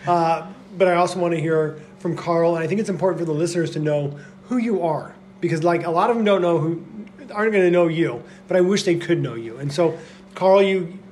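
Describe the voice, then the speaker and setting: Brief: male podcast co-host, casual and talkative, leans on fillers, somewhat high voice, faint background noise. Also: fairly quick, a little mellow. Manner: chatty, full of "like" fillers.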